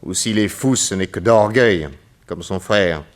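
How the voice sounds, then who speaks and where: An actor playing a calm, shrewd middle-aged detective in a radio drama, speaking in a measured, grave and deliberate way. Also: male voice, on the low side, natural voice. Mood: explaining. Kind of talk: 1940s radio acting.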